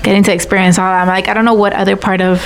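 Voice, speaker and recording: A woman's voice: medium pitch, little pitch movement, racing, faint music behind. Young woman, hosting a loose chat, talks in run-on sentences with plenty of "like". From Miami. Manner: chatty, rambling, peppered with "like" and "you know". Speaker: podcast host. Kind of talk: casual interview chat.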